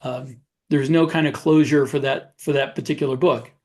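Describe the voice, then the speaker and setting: A man co-hosting a podcast, giving his opinions in a casual, halting way. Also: mid-range voice, steady tone, darkish tone. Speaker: podcast co-host, author. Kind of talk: casual discussion of writing.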